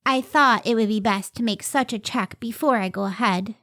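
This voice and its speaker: A woman acts a devoted, earnest scientist wife in an old-time radio play. Her voice is fairly high and close and dry, without room sound. She speaks carefully and with open feeling, modest about her work. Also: moderate pace, a bit bright, no room sound. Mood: excited, earnest. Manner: earnest, careful, emotive delivery.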